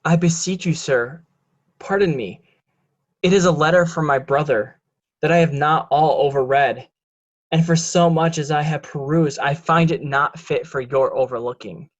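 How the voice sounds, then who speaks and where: A man playing a scheming, resentful illegitimate son in a deliberate, declamatory Shakespearean drama reading. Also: fairly high pitch, muffled recording, close to an everyday voice. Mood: feigned reluctance. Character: scheming, resentful bastard son, smooth deceiver.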